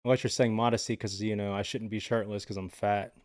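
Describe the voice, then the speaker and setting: A man's voice: on the low side, flat delivery, fast, a little mellow, dry recording, clean studio sound. A man casually chatting with his live viewers from home, laid-back and rambling with lots of filler words. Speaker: livestreamer.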